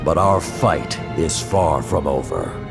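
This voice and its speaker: An actor playing a grave, stern and weary veteran warrior lord in a video game, with a gravelly voice and a solemn, commanding delivery. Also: male voice, very deep voice, lively intonation, slow, full character voice. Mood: solemn, mournful. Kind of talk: dramatic video game cutscene acting. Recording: music behind the voice.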